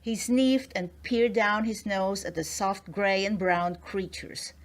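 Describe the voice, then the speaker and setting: A woman's voice: medium pitch, fairly even intonation, slow. A woman narrating a children's storybook in a scripted read-aloud style.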